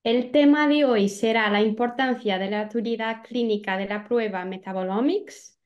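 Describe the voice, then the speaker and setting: A woman in her twenties co-hosting a webinar over a video call, reading a formal introduction at a steady pace. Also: a little high, normal speed, dull audio. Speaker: webinar co-host. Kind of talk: scripted introduction.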